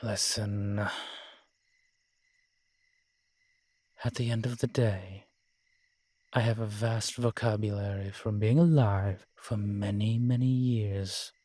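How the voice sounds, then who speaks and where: Guy doing a old vampire dude, lowish voice, dry close sound, snarky n haughty, audio rp drama. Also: fairly even intonation, unhurried, warm tone, dry recording, soft background music. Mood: wry, defensive. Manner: wry, haughty, uses old-fashioned fancy words. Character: ancient, sardonic male vampire, proud and world-weary. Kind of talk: audio roleplay drama, one-sided dialogue.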